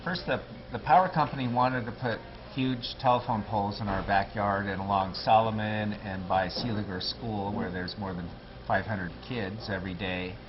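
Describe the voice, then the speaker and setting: Low-voiced man in a lo-fi interview. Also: steady tone, normal speed, noisy background. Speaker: political candidate. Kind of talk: local TV interview guest.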